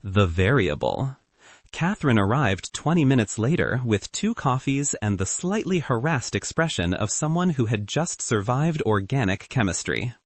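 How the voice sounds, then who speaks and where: Casting: male audiobook narrator, husky edge, animated delivery of scripted fiction. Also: mid-range voice, normal speed, no echo.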